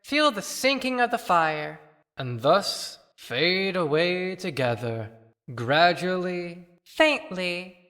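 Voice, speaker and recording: A man playing a serene, long-married lover after death in a lyrical, measured audio-play monologue. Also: sits high, sing-song, sharp tone, full character voice. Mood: tender, serene. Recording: long cavernous reverb.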